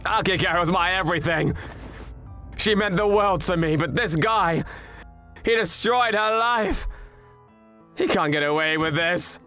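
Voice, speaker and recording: An actor playing men in a grim manga revenge drama. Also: male voice, high-pitched, unhurried, pauses often, pushed and bright, full character voice. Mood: pleading, grieving. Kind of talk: dubbed manga drama dialogue. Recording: music behind the voice.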